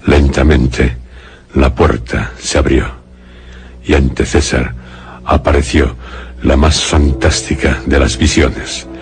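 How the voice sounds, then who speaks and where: A man narrating a suspense radio drama in a deliberate, solemn, dramatic way. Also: rumbling low, fairly even intonation. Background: faint music.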